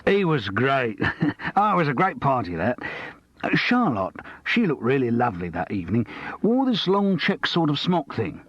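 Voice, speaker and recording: A man voicing a hearty, easygoing middle-aged husband, husky and a little muffled. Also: mid-range voice, big pitch swings, moderate pace, dry recording, pitched above the performer's own voice.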